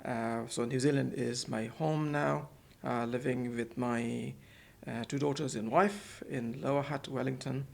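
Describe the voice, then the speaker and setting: A man talks as a podcast guest in an office, at a middle pitch for a man. His voice has a gravelly, creaky edge. He speaks hesitantly and often repeats words and fills gaps while finding his phrasing. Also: fairly even intonation, a little slow, few pauses, a little mellow. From Pakistan. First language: Urdu. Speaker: guest, center manager. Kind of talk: casual podcast interview.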